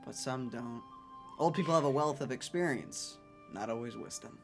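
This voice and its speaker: A woman's voice: extremely low pitch, moderate pace, soft background music. A woman giving casual let's play commentary, reacting in a wry, joking way.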